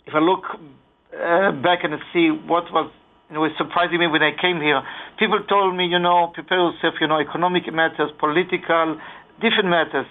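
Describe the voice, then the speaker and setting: Brief: earnest Israeli-accented male radio guest, slightly high voice, phone-line sound. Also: steady tone, brisk. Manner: earnest, many fillers and restarts. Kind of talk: radio interview.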